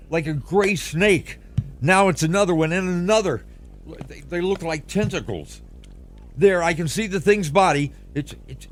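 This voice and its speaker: An actor playing a frightened radio reporter in an old-time radio drama, stammering through an eyewitness account. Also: male voice, on the high side, slight background noise, natural voice. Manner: stammering, breathless eyewitness description. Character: frightened male radio reporter at alien landing site.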